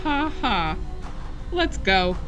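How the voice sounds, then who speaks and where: A woman playing a brisk, no-nonsense bounty hunter captain in a sci-fi audio drama, firm and commanding. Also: on the high side, very animated, full character voice. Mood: brisk, teasing. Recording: music and effects behind.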